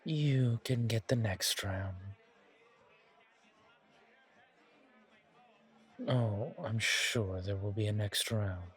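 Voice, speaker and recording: Man voicing a slow, husky, courtly vampire gentleman. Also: lowish voice. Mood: seductive, teasing. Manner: genteel, poetic, unhurried, flirtatious. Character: suave, courtly, old-world male vampire charming a stranger. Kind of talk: roleplay audio, ASMR horror.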